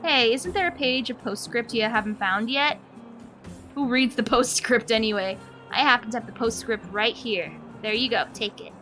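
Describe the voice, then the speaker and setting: A woman's voice: a little high, normal speed, pushed and bright, soft background music. Woman putting on an eager, chirpy young child. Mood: eager, cheerful. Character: eager young child who loves storybooks.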